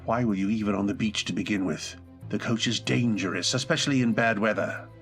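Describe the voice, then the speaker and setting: A man playing a brooding, volatile gentleman husband. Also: on the low side, a little fast, faint music behind. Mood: angry. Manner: abrupt angry outbursts softening into tender apology. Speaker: voice actor. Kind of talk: 1940s radio acting.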